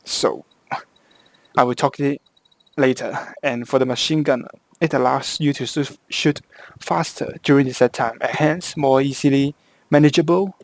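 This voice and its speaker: A man's voice: medium pitch, steady tone, creaky, no echo. Hong kong english guy explaining a game, keeps restarting mid-sentence, dry close sound. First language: Cantonese. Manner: halting, with frequent restarts and self-corrections. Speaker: gameplay guide creator. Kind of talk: game tutorial voice-over.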